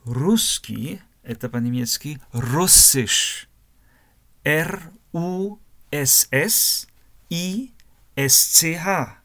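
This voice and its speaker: A man from Germany, teaching a language lesson slowly, spelling words out letter by letter and repeating phrases. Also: a little high, animated, fairly bright tone.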